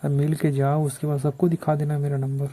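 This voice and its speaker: A man's voice: fairly high pitch, completely flat delivery, fairly quick, warm tone. A man talks coaxingly and insistently in a casual, flirtatious phone conversation. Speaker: phone caller.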